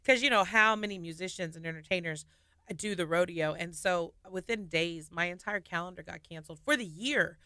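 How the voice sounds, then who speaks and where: A woman in her thirties, a singer and nurse, telling her story with lively laughs in a casual radio studio interview. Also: on the low side, a little fast, smooth, dry recording.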